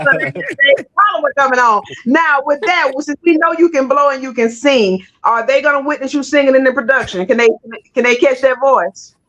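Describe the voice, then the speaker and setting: A woman's voice: fairly high pitch, fairly bright tone. A woman hosting an interview in a playful, folksy, affirming way, chatting casually. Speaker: host of an interview show. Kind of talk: casual chat. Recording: slightly dull lo-fi sound.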